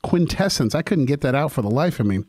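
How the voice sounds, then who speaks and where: A man's voice: mid-range voice, expressive, fast, background noise. A man hosting a podcast, chatty and upbeat, with a slangy, laid-back way of talking. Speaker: podcast host. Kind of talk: casual podcast interview.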